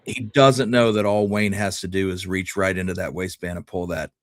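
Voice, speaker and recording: A man's voice: low-pitched, steady tone, moderate pace, no room sound, clean studio sound. A man co-hosting a conversational history discussion, thinking aloud as he builds theories step by step. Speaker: podcast co-host.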